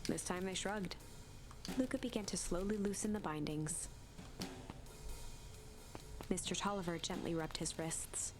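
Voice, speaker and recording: A woman's voice: on the low side, unhurried, long pauses. A woman giving a dramatic reading of a story game's narration. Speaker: let's play host, narrator. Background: music.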